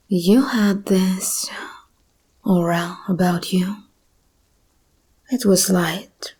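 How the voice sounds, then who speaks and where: Breathy, slow-whispering predatory monster girl, played by a woman. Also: lowish voice, darkish tone, full character voice. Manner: slow, teasing, predatory purr. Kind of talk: ASMR roleplay, intimate monologue.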